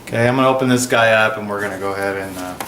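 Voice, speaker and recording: A man's voice: on the low side, rapid-fire, a bit bright. A man giving a casual, rambling hands-on product review with an informal, offhand way of talking. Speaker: product review video host. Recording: echoey room with clear background noise.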